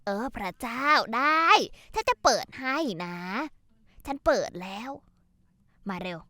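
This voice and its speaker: A woman's voice: high voice, lively intonation, slow pace, vocal fry, sharp tone, dry recording, exaggerated voice. An actress playing an older mother-in-law who grumbles sarcastically in a dubbed drama. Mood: sulky sarcastic.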